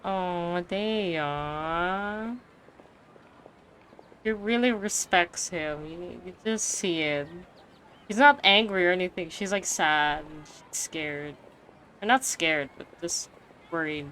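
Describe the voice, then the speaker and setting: A woman streamer giving casual, slangy, expressive reactions to a game, exclaiming often, with game music behind her. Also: lowish voice, takes it slowly, a little hiss or hum.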